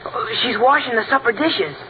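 A man's voice: very high-pitched, expressive, normal speed. An inquisitive, literal-minded American boy in a forties radio comedy. His delivery swoops up and down with lots of expression. The sound is tinny and noticeably hissy. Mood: curious. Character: teenage boy, the son, curious and literal-minded. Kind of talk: 1940s radio comedy acting. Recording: thin, noisy vintage radio recording.

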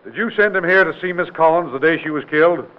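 An actor playing a brisk, authoritative state investigator with a formal, commanding manner in an old radio drama. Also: male voice, on the high side, lightly acted. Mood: probing. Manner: formal, commanding interrogation with clipped questions. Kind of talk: 1940s-50s radio drama acting. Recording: tinny, noisy old radio recording.

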